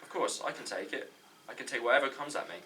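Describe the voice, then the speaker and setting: A man's voice: mid-range voice, fast talker, close to an everyday voice. A man playing a naive, boastful, over-eager would-be actor at an amateur comedy table read. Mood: eager, earnest. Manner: awkward, cocky, over-eager. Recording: distant mic, faint room noise.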